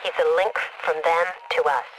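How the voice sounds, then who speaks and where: Female military handler, video game briefing, crisp, commanding, businesslike, noisy cutscene ambience. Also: sits low, moderate pace, a bit bright. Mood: analytical, focused.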